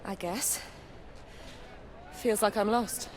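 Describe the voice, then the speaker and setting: An actress playing a young amnesiac memory hunter in a video game, guarded, lost and uncertain. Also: female voice, medium pitch, fairly even intonation, slow pace, background noise, lightly acted. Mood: lost, subdued. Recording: loud music behind the voice.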